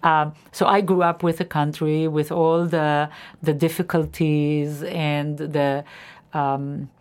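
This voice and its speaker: A woman in her seventies from Israel, a teacher, telling her life story in an interview with earnest, animated restarts. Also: deep voice, slow. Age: 70s.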